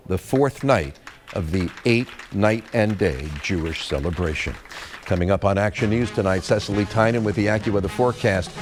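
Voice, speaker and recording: An older man with an American accent and a hoarse voice, reading the evening news as anchor in a measured, formal way. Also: fairly low pitch.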